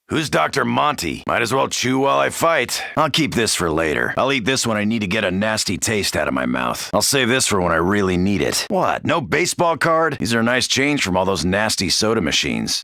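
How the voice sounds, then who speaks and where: Game casting: brash, wisecracking American macho grunt, forceful gravel voice, dry close sound. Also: male voice, on the low side, no echo, full character voice. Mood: gruff, casual. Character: gruff, cocky macho soldier fighting zombies. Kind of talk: video game character voice lines.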